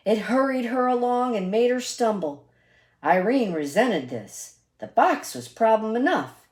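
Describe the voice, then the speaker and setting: Slow, animated woman storyteller. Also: a little high. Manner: deliberate, emphatic. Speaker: read-aloud storyteller. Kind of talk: expressive children's picture book reading with asides.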